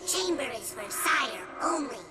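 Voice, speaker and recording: An actress playing a small, stubborn alien creature in a video game, speaking in simple broken phrases. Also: female voice, high-pitched, animated, unhurried. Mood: insistent. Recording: loud music behind.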